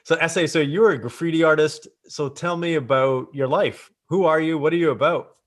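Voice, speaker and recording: A man's voice: a little high, steady tone, moderate pace. A man hosting a casual interview, encouraging and asking open questions. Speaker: interview host.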